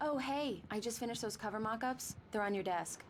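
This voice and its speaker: An actress playing an affectionate, teasing wife in a thriller video game. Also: female voice, on the high side, brisk, a little hiss or hum, close to an everyday voice. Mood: playful. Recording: quiet distant game audio with music.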